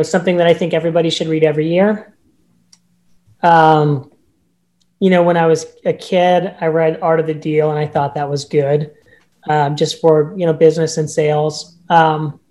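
A man with a notably high-pitched voice, a real estate agent speaking as a podcast guest in an upbeat, emphatic way. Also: warm tone. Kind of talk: casual podcast interview.

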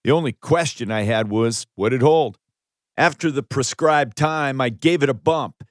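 A man's voice: medium pitch, normal speed, no room sound, clean studio sound. An American man in his fifties reading his own audiobook as a pastor and author, with an earnest, emphatic preacherly delivery. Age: 50s. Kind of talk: audiobook narration. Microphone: close studio microphone.

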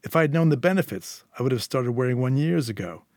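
A man's voice: mid-range voice, lively intonation, fast, darkish tone, no echo, clean studio sound, lightly acted. A man voicing a suave crime boss who is coldly polite and threatening. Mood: smooth. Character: suave menacing male London crime boss.

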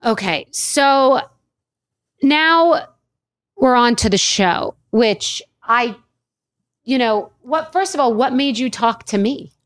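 A woman's voice: fairly high pitch, expressive, takes it slowly. A woman hosting a podcast interview, firing off eager questions that stumble and restart. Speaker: podcast host. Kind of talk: interviewing a guest.

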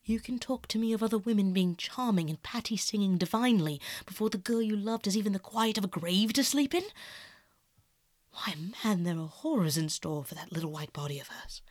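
Female reader plays an older male artist: hushed, slow, strained, earnest and reproachful, dry sound. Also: fairly low pitch, slightly rough, no echo. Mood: pained, horrified. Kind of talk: audiobook character acting.

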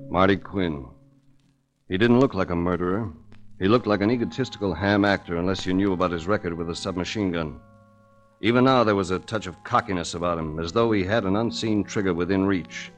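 An actor playing a sober, world-weary investigator, grave and measured, in a 1940s hard-boiled radio drama. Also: male voice, deep voice, lo-fi sound, soft background music, close to an everyday voice. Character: sober, world-weary male investigator telling his case.

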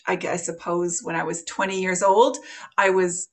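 A middle-aged woman with a Canadian accent, a podcast guest telling her own story in a soft, thoughtful way with many pauses. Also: lowish voice, level tone. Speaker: podcast guest, meditation teacher. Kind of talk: reflective conversational storytelling.

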